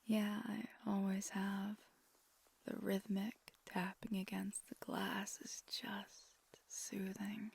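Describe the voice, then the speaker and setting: A woman playing an affectionate, gentle vampire girlfriend in an ASMR roleplay, speaking in a soft, breathy, intimate whisper. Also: medium pitch, completely flat delivery, exaggerated voice. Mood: tender, soothing. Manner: slow, hushed, intimate.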